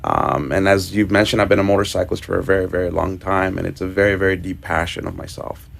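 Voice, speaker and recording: A man from Ecuador, a guest on a radio show, telling his story in a relaxed, casual way. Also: low voice, fast talker, gravelly. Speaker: guest motorcyclist.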